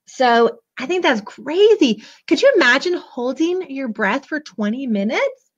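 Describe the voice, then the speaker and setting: A bubbly woman in her forties talks in a hoarse, high voice while chatting with her live viewers about a children's book. The webcam audio is dull and lo-fi. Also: lively intonation, measured pace. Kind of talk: casual live book show-and-tell. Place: small room indoors, decorated backdrop. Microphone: webcam at desk.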